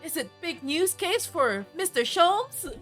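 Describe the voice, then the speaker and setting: A perky young girl, put on by a woman reading a game aloud, chirps her lines in a high, squeaky voice that leaps about. She sounds breathless and eagerly polite. Her voice is lifted well above its usual pitch. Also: sing-song, takes it slowly, a bit bright, faint music behind, full character voice. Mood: chirpy.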